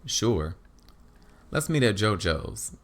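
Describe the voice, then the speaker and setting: A performer putting on a low, smooth-talking male postman. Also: very animated, takes it slowly, heavily acted. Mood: softly flirtatious. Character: smitten, polite postman, smooth-talking suitor, a man. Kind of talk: audio drama dialogue.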